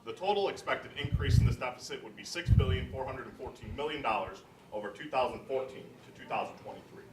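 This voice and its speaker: A man's voice: medium pitch, lively intonation, fast. A young man presenting at a student readers theater event in a roomy space. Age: young adult. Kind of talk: live readers theater introduction and reading. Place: college library event room. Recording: roomy, distant mic, loud noise.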